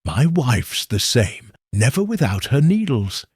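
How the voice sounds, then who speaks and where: A man voicing a portly, genial gentleman making small talk. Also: mid-range voice, sing-song, measured pace, breathy edge, no room sound, clean studio sound. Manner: genial, chatty. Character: portly genial middle-aged gentleman on a train.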